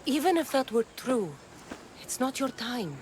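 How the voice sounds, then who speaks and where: A woman's voice: high voice, sing-song, unhurried, a little mellow, a little hiss or hum. An actress playing a tough, dry, protective young mercenary woman in a video game, speaking in a blunt, wry way. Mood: firm, tender. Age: young adult. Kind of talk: video game cutscene acting.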